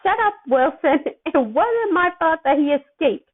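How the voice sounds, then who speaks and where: Woman as a frazzled guardian man, defensive, exasperated, animated pitch, story reading, tinny narrow sound. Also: measured pace. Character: frazzled, defensive grown man minding a superpowered teenager. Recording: thin, phone-like narrow-band sound.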